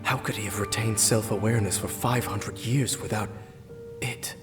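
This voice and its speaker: A man playing a stoic, weary, centuries-old cursed knight in a video game, speaking in a solemn, measured and formal way. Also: fairly low pitch, steady tone, lightly acted. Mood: troubled, brooding. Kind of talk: video game cutscene acting. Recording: long reverb, music behind.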